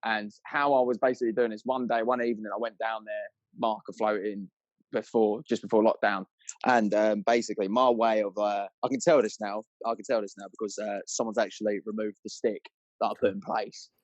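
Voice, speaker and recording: A man's voice: lowish voice, flat delivery, fairly quick, dry recording, highs cut off. A British man telling anecdotes as a podcast guest, chatty, rambling and full of casual slang.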